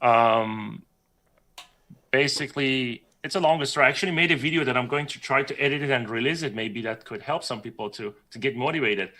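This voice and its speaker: Male guest from Iran, bright forceful tone, loose rambling storyteller. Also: medium pitch, a little fast. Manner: rambling, self-correcting storyteller, laughs often. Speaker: guest artist. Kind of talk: casual livestream chat.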